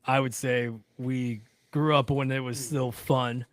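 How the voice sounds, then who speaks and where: Male panelist, mid-pitched, for loose teasing talk show banter, recorded dry. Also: little pitch movement, measured pace, dry recording. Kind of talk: casual chat.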